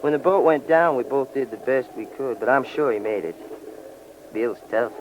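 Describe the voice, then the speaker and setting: Noisy old radio drama, male actor as tough criminal, rough colloquial talk, commanding. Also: medium pitch, moderate pace, highs cut off, lightly acted. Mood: cool, cocky. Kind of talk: 1940s radio acting.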